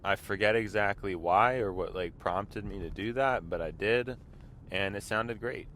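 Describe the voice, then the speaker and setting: A man's voice: on the low side, unhurried, fairly bright tone, slight background noise. Congested man rambling in a car. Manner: rambling, with frequent throat clearing. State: congested, slightly sick voice. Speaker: vlog host. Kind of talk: casual talk while driving. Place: inside a car.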